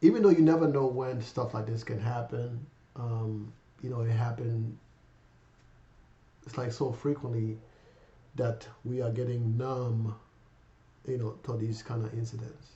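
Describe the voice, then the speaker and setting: A man in his fifties with a cold and a raspy voice, hosting a casual finance vlog and rambling to viewers with lots of fillers. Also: mid-range voice, steady tone, unhurried, mellow. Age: 50s. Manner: rambling, many fillers, addresses viewers as guys. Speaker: finance channel host. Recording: echoey room, dull lo-fi sound.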